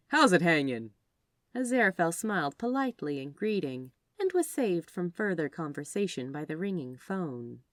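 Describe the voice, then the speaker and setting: A woman reading fan fiction aloud as scripted, expressive storybook narration. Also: low voice, dry recording, clean studio sound. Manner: expressive read-aloud delivery. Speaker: podfic narrator.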